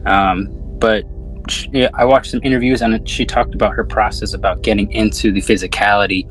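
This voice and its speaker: A man's voice: lowish voice, steady tone, brisk, faint music behind, rough recording. A man hosting a podcast in a casual, slangy film discussion, swearing freely. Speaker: podcast host.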